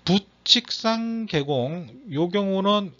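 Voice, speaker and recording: A man's voice: high voice, expressive, slow pace, a bit bright. Slightly strained male lecturer, muffled recording. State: slightly strained voice from throat trouble. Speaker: exam lecturer teaching real estate broker law. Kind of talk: lecture reading out quiz questions and explaining answers. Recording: dull, muffled lo-fi audio.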